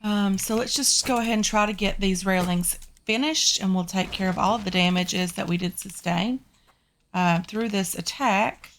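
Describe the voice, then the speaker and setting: A woman's voice: medium pitch, normal speed, a little hiss or hum. A woman with a Southern US accent talks her viewers through a survival game in a loose, chatty way, sprinkling in ums and calling them guys. Her voice sounds stuffy and congested from a sinus infection. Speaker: gaming channel host. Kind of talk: casual game commentary.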